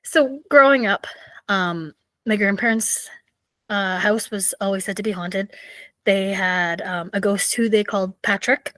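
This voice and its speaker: A woman in her thirties with a raspy voice, hosting a podcast and chatting casually with lots of fillers. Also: medium pitch, measured pace, a bit bright, dry recording. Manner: chatty, rambling, with many fillers. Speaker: podcast host. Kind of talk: casual solo storytelling.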